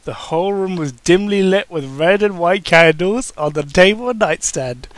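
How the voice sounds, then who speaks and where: A man's voice: sits high, lively intonation, moderate pace, dry recording. A man giving a comic amateur dramatic reading of fan fiction, informal and prone to mocking asides. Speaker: amateur narrator.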